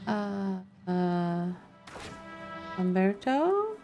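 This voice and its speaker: A woman doing casual let's play commentary as a streamer, low-key and half muttering to herself. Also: very animated, very slow, lo-fi sound. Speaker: game streamer. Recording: music playing behind. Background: clear background music.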